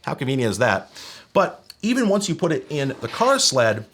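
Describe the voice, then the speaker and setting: A man's voice: mid-range voice, sing-song, normal speed, a bit bright, breathy edge. A man hosting a tech explainer video in a conversational, wry, nerdy way, as if talking straight to viewers. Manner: casual, wry, nerdy technical detail. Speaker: host of a retro tech video channel.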